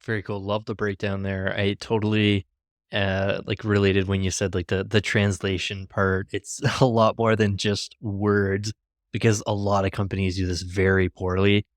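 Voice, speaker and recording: Casting: Canadian male podcast host, French native speaker, very low voice, dry close sound. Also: normal speed, no echo. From Canada, Ontario. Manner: relaxed, fillers like "like", easy laughs. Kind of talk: casual podcast interview.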